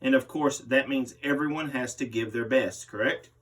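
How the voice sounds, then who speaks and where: A man's voice: medium pitch, moderate pace, fairly bright tone. A man reading a magazine interview aloud as a host, voicing the interviewee's part. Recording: distant microphone.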